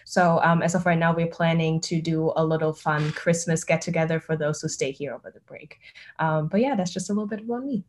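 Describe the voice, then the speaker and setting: A woman's voice: sits low, brisk, slight background noise. Chatty young woman from Germany. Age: young adult. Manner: chatty, many "like" and "um" fillers. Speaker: student panelist. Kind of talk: casual self-introduction. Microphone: video call.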